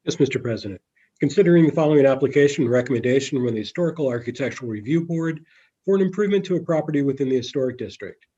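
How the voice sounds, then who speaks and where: A man's voice: medium pitch, animated, rapid-fire, warm tone, breathy edge. A man acting as clerk, formally reading legislation and calling the roll in a council meeting. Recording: dull, muffled lo-fi meeting audio.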